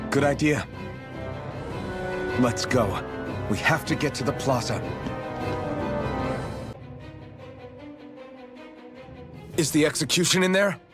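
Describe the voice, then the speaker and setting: An actor playing an impetuous, determined young fighter in a video game cutscene, urgent and commanding. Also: male voice, medium pitch, big pitch swings, normal speed, natural voice. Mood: resolute. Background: loud music.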